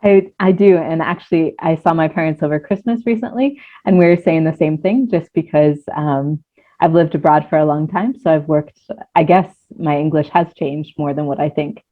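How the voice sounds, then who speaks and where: Low-voiced woman on a tinny line. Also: moderate pace. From United States. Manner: hesitant, many ums, thoughtful. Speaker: podcast guest, coach. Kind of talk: casual interview chat.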